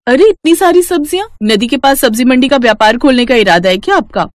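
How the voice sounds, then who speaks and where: A woman's voice: high voice, a little fast, a little hiss or hum, pitched above the performer's own voice. A woman playing a discontented young wife in a cartoon story, nagging and pleading expressively. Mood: exasperated. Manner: complaining, expressive. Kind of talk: cartoon moral story acting.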